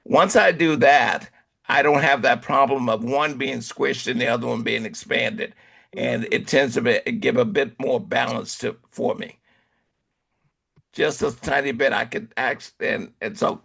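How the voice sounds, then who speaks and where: Gravelly man giving joking photo critiques. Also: medium pitch, fairly even intonation, a bit bright. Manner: halting, joking, many restarts. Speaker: camera club member giving critique. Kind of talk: casual critique chat.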